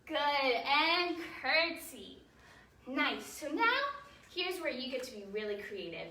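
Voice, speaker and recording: High, animated woman teaching kids in echoey room. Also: slow pace. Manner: encouraging, animated, simple explanations with check-in questions. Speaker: children's ballet teacher and storytime host. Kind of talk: instructional ballet lesson for young children. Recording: roomy echo, distant mic, faint noise.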